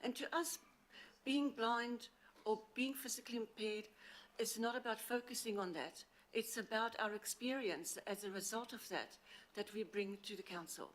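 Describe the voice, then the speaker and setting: A woman with an accent from outside the city presents to a council committee on a handheld mic, earnest and halting with frequent fillers. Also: a little high. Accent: non-local accent. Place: council meeting chamber. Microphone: handheld microphone.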